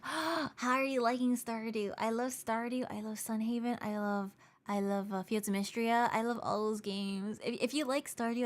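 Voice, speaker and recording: A young woman streaming live, chatting casually with viewers in a bubbly, giggly way while her voice is going hoarse. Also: a little high. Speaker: VTuber streamer. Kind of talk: casual livestream chat, switching between English and Japanese.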